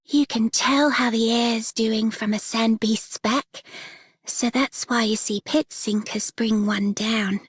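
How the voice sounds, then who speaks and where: A relaxed young game heroine with a put-on Australian accent that keeps drifting Canadian. She talks slowly in a breathy, husky tone, recorded close and dry with no room around her. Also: a little high, no room sound. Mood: calm. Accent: put-on Australian with Canadian traces. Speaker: game character. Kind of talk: game voice acting.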